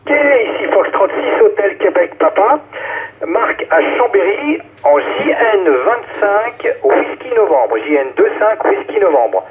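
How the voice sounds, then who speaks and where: A man's voice: sits high, sing-song, slow pace. A man giving a short check-in as an amateur radio operator over a relay. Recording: narrowband, phone-like ham radio relay.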